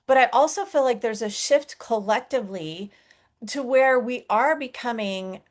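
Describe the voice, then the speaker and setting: A woman's voice: fairly high pitch, normal speed. A woman from the United States hosting a spiritual interview show, talking enthusiastically and gushing about her guest. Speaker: spiritual podcast host. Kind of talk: conversational interview.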